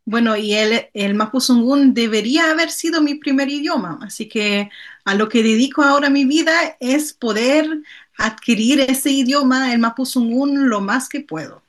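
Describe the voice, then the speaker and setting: Guest: native German speaker, woman, calm and steady, slightly high voice. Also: moderate pace. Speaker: guest translator. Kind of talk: conversational interview.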